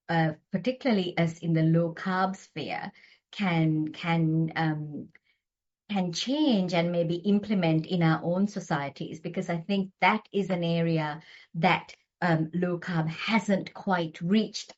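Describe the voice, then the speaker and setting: A woman's voice: lowish voice, fairly even intonation, slow pace, a little mellow, lo-fi sound. A middle-aged woman doctor from Sri Lanka, a guest talking earnestly with frequent restarts. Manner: earnest, talks with many restarts. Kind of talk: podcast interview.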